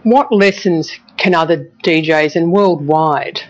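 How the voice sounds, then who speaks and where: A woman's voice: sits low, slow. A woman with an Australian accent conducting a broadcast news interview in an earnest, probing, emotive way. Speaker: television journalist interviewing. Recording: dull, band-limited broadcast audio.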